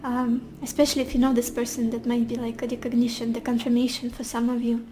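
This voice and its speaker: A woman giving a casual pick-a-card tarot reading while losing her voice, speaking gently and reassuringly straight to the viewer. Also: fairly high pitch, little pitch movement, fast talker. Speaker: tarot reader.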